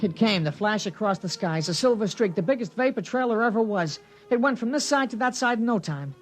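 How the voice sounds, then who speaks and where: An actor playing an excited, earnest small-town boy narrating a world event in a 1950s radio drama, with breathless, wondering delivery. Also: high-pitched, fairly quick. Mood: hushed wonder. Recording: vintage radio drama with loud music.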